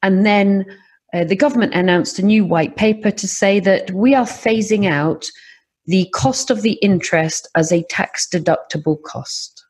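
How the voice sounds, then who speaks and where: A middle-aged woman from Scotland with an English accent, telling a podcast host about her property investing in a lively, animated way. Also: medium pitch, a little slow, husky. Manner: lively, animated storytelling with laughs. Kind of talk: podcast interview.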